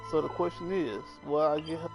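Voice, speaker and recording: A man's voice: a little high, a little fast, gravelly, rough recording. A man doing casual live gaming commentary, talking to viewers and muttering to himself. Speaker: game livestreamer. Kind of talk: casual live gaming commentary, reading game dialogue aloud. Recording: music playing behind the voice. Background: game music.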